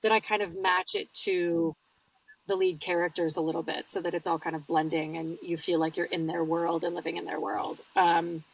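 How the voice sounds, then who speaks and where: A woman's voice: lowish voice, flat delivery, normal speed, vocal fry, distant mic, low-quality recording. A woman audiobook narrator, talking casually and explaining her work in an interview, laughing easily. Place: home studio.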